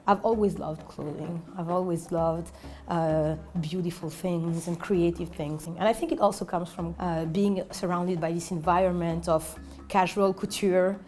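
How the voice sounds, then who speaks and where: A woman's voice: on the low side, fairly even intonation, measured pace, a little mellow, faint music behind. A woman in her twenties from Senegal, speaking earnestly in a documentary interview in a clothing shop, often restarting her sentences. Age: 20s. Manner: earnest, self-correcting, many restarts. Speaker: entrepreneur.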